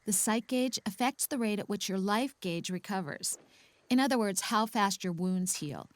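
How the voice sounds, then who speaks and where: A woman playing a caring but firm counselor in a video game, explaining things with gentle insistence. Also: medium pitch, moderate pace, no room sound, close to an everyday voice. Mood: instructive.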